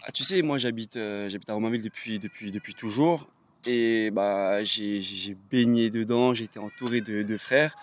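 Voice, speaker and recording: A man answering street interview questions, soft-spoken and polite, thanking the interviewer often. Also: lowish voice, moderate pace, a little hiss or hum. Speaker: interviewee, passer-by. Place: outdoors on a street.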